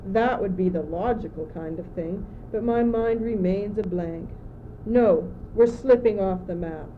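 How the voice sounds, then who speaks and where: A woman's voice: mid-range voice, a little slow, a little hiss or hum, lightly acted. A teenage girl playing a gloomy, confused, doubting young courtier in an amateur school radio play, plaintive and theatrical, on an old muffled recording. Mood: doubtful. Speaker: student actor.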